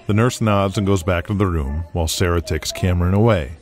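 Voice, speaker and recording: A man giving scripted dramatic narration with a measured storytelling manner. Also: deep voice, a little mellow.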